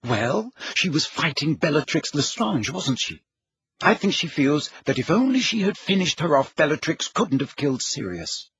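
A man's voice: a little high, sing-song, moderate pace, fairly bright tone, husky, no room sound, voice pushed up, full character voice. A man voicing an earnest, indignant, clever teenage girl who explains insistently in an audiobook story. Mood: earnest, explaining.

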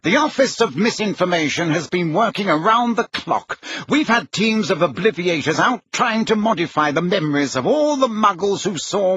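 A man's voice: high-pitched, bright, forceful tone, slightly rough, no echo, lo-fi sound, pitched above the performer's own voice, exaggerated voice. A man voicing a flustered, defensive older wizard minister in an audiobook, speaking with agitated urgency. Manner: agitated, urgent, self-justifying. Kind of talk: audiobook character acting.

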